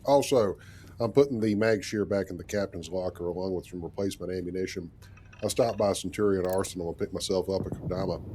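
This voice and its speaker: A man's voice: sits low, fast, mellow, a little hiss or hum. A man role-playing as a captain over a game, with a wry, conversational delivery. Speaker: gaming channel host role-playing as captain. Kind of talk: in-character role-play narration over game.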